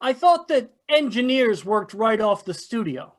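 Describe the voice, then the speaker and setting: A woman's voice: a little high, big pitch swings, unhurried. A woman playing a shrewd, formal police inspector in an old-time radio mystery. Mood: probing, dry. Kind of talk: golden-age radio mystery acting.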